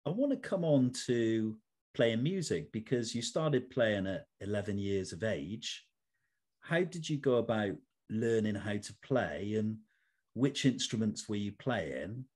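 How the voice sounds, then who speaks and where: A man with a fairly low voice hosts an interview. He speaks formally and at length, building careful questions out of several parts. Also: darkish tone. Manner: formal, wordy, multi-part questions. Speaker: interview host.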